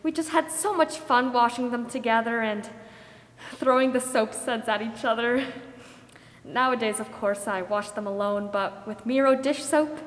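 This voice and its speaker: A woman's voice: on the high side, normal speed, natural voice. A young woman acting a perky, sentimental wartime hostess in 1940s radio style. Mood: wistful, fond. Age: young adult. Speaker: radio show host. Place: theater stage, standing mic. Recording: echoey theater hall.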